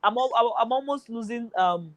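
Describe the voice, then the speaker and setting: A woman's voice: a little high, moderate pace. A woman on a studio panel arguing her view of a football match emphatically. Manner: emphatic, argumentative. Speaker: football pundit.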